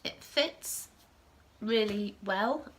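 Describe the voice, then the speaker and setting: A woman's voice: medium pitch, very slow, slight background noise. A woman hosting a casual knitting podcast from home, sniffling with a starting cold and rambling with frequent self-corrections. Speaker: podcast host.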